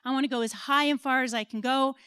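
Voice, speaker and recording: A woman's voice: high-pitched, fairly even intonation, quick pace, sharp tone, smooth, no echo, studio quality. American woman in her forties giving a talk. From United States. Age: 40s. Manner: earnest, personal, laughs at herself. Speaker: conference speaker. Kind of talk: conference talk. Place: stage at an event.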